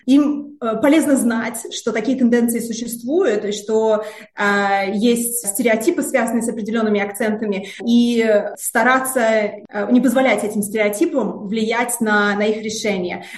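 A woman's voice: a little high, moderate pace. A woman researcher answering interview questions in a hesitant way, with many filler sounds and repeated words. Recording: roomy echo.

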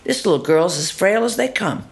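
A woman actor chats through an interview in a lively way. She swings from loud, emphatic asides to hushed, half-voiced murmurs. She keeps tossing in tag questions like "doesn't it?". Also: medium pitch, brisk, creaky. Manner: animated, shifting between loud asides and soft murmurs. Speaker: actor interviewed about her role. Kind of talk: conversational interview.

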